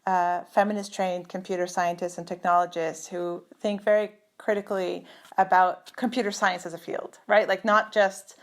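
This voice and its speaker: A woman's voice: lowish voice, flat delivery, normal speed. Woman guest, middle-aged, from Turkey; thoughtful, filler-heavy delivery on a video call. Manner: thoughtful, many fillers and restarts. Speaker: guest, computer scientist. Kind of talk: conversational interview.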